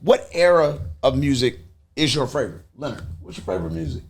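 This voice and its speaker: Male host, middle-aged, gravelly and higher-pitched, blunt, sweary, casual panel chat. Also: fairly quick. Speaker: podcast host.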